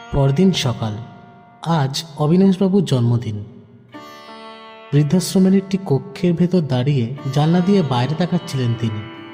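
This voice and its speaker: A man reads dramatic story narration in a slightly high voice. Long reverb trails his words, and music plays clearly behind him. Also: mellow.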